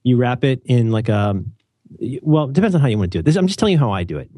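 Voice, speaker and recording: A man hosting a casual chat, animated and opinionated, joking often and restarting his sentences. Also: mid-range voice, rapid-fire, dry recording. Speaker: podcast host.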